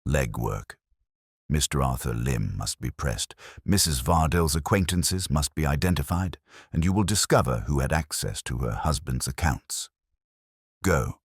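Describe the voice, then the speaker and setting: A man's voice: rumbling low, animated, unhurried, slightly rough, no echo, studio quality, full character voice. A man voicing a terse, commanding detective boss who gives formal, clipped orders in an audiobook mystery.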